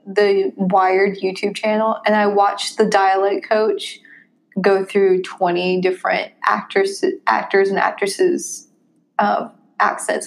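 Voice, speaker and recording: A woman with a Tennessee Southern accent chats casually as a podcast co-host. She stretches her vowels as she talks. Her pitch sits in the middle range for a woman. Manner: chatty, drawn-out vowels. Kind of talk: casual chat.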